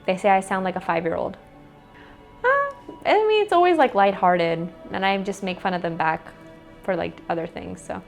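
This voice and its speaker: Young American woman, casual interviewee, filler-heavy chat, mid pitch. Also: sing-song, moderate pace, vocal fry, soft background music. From United States. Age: young adult. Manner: chatty, with frequent "like" fillers.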